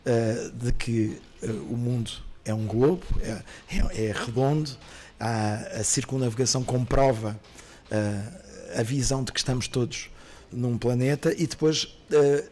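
Middle-aged man presenting, halting and animated. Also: medium pitch. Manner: animated, many fillers and restarts. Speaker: festival programmer. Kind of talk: outdoor press presentation. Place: outdoor park, under trees. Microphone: podium microphone.

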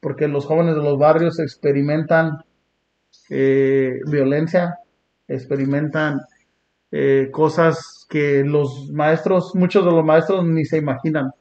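A man from Long Beach, California, hosting a podcast and talking in an earnest, reflective way with blunt street slang. He sits on a small dark indoor studio set. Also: a little high, fairly even intonation, slow pace. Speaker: podcast host. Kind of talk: casual reaction chat. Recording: muffled lo-fi sound.

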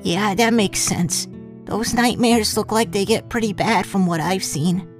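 A woman voicing a timid, caring young goat monster boy in an animated comic dub, earnest and anxious. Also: medium pitch, unhurried, soft background music, close to an everyday voice. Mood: worried.

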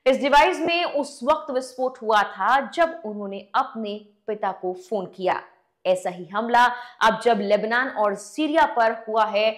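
A woman in her thirties presenting a scripted news explainer, recorded on a lapel mic in a studio. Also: medium pitch. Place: indoor studio.

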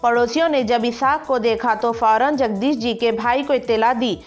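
A woman's voice: a little high, moderate pace, soft background music. A woman narrating a local news report in a formal, read-out voice-over.

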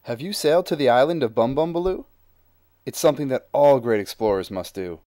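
A man's voice: medium pitch, expressive, fairly quick, dark tone, no room sound, clean studio sound, lightly acted. A man voicing a grand, boastful male sea explorer who tells of his voyage in rhyming verse. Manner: rhyming, grand storytelling delivery.

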